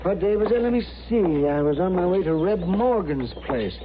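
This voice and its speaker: A man's voice: sits high, lively intonation, moderate pace. A man playing a dithering, folksy older town doctor in an old radio western, American, with a rambling manner. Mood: gossipy. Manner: rambling, garrulous, musing. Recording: muffled, noisy old radio broadcast.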